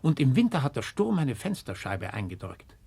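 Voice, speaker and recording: A man's voice: medium pitch, huge pitch swings, normal speed, vocal fry, dark tone, slightly rough, dry recording, exaggerated voice. A man playing a deferential but hard-nosed estate steward in a 1960s German radio play, formal and officious in manner.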